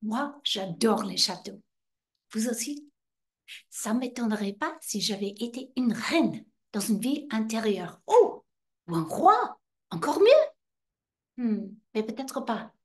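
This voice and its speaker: A woman's voice: fairly high pitch, sing-song, takes it slowly. A woman in her sixties with an American accent, performing a playful monologue as a chatty guide welcoming visitors, with teasing asides. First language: English. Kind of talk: theatrical monologue screen test. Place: indoor stairwell by a wrought-iron railing.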